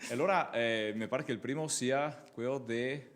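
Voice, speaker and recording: A man's voice: mid-range voice, moderate pace. A man with a Venetian accent informally presenting a live event, hesitant and improvising as he goes.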